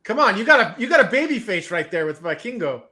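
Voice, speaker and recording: A man's voice: high voice, sing-song, fast, bright, forceful tone. A middle-aged man giving casual, jokey wrestling watch-party commentary, swearing freely.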